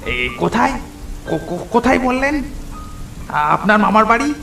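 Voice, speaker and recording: Man acting a creaky-voiced village tea-stall keeper. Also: sits high, sing-song, normal speed, roomy sound, music in the background, full character voice. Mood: frightened, agitated. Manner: folksy, deferential, stammers when afraid. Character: chatty village tea-stall keeper who turns frightened. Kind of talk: horror cartoon story acting.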